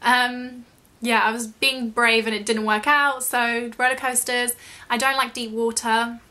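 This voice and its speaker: A woman's voice: fairly high pitch, little pitch movement, a little slow, bright, forceful tone. A young British woman chatting casually in a question-and-answer vlog, rambling a little and self-deprecating. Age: young adult. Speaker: YouTube vlogger.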